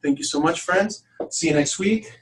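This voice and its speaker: A man teaching an informal spiritual class to a small group, talking in a halting, conversational way. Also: a little high. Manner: halting, conversational, addresses listeners as friends. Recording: distant room mic with background noise.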